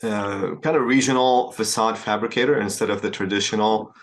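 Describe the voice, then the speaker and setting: A man from Syria, a founder answering interview questions in a measured way with frequent hesitations and restarts. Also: fairly low pitch, steady tone. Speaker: startup founder, guest.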